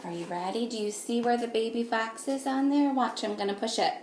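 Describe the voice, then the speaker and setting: A woman teacher reading a picture book aloud to young children, patiently, in a sing-song way, pausing to ask them simple questions. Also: a little high, a little mellow. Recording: echoey room with faint noise.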